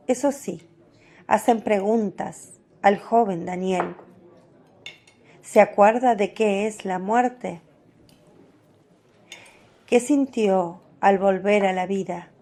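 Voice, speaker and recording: A woman narrating a scripted devotional radio drama in a measured storytelling manner. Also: medium pitch, a little mellow.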